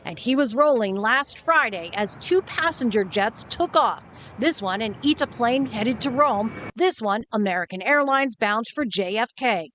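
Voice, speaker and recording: A woman news reporter reads scripted narration in a fairly high voice. There is some faint noise behind her, as in a broadcast clip. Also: expressive, moderate pace, fairly bright tone.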